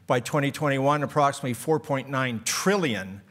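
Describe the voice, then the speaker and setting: A man's voice: medium pitch, fairly quick, fairly bright tone. A man moderating a conference, introducing the next speaker from notes and chatting with the audience. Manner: reads from notes, then jokes with the audience. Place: conference hall.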